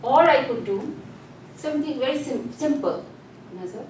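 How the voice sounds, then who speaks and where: Female teacher, higher voice, animated explainer; very reverberant room, distant mic. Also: normal speed, a little hiss or hum. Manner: animated, explanatory, uses you know often. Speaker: instructor. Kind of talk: demonstrating and explaining in class. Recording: very echoey room from far off.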